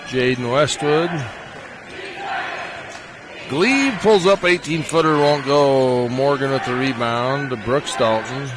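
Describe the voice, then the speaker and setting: Unhurried male play-by-play caller over clear court noise, punches up on made shots. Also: medium pitch. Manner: rapid name calls, rising on made shots. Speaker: play-by-play announcer. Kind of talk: basketball play-by-play commentary. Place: gym at a high school basketball game. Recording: game broadcast with gym noise. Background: crowd and court noise of the game.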